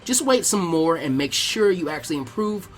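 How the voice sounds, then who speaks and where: Man voicing a self-assured, animated young ninja girl. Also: a little high, normal speed, soft background music. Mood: doubtful, frank. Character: confident, teasing young kunoichi girl.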